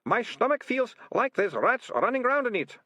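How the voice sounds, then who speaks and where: A man's voice: big pitch swings, normal speed, bright, forceful tone, no room sound, lo-fi sound, heavily acted. A man voicing a guilty, whining rat-catcher in a storybook, moaning and stammering his excuses in colloquial speech. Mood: panicked, wailing. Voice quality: high-pitched moaning voice. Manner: stammering, colloquial, self-justifying.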